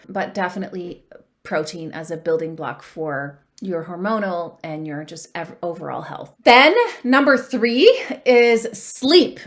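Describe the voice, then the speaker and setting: A woman in her forties, a dating coach, talking earnestly and upbeat to camera with frequent ums as she gives advice. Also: mid-range voice. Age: 40s. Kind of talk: solo advice talk to camera.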